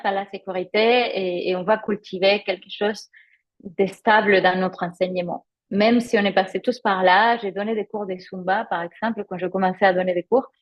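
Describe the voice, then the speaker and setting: A woman with a Spanish accent, a native Spanish speaker, teaching conversationally in a live webinar as a yoga teacher trainer, explaining with personal examples and pausing to find her words. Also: mid-range voice, dull audio.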